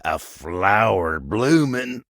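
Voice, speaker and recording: An actor playing a folksy, tender, patient table turned human in a video game, with an American accent and a gravelly, husky drawl. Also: male voice, fairly low pitch, big pitch swings, extremely slow, a bit bright, dry recording, studio quality, exaggerated voice. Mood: awed. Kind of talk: video game character dialogue.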